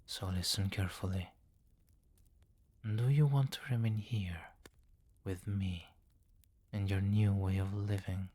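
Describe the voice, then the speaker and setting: A man's voice: sits low, fairly even intonation, warm tone, no echo. A man playing a commanding, possessive vampire king in an intimate, teasing ASMR roleplay. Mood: grave, controlling. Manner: slow, teasing, condescending, with murmured endearments.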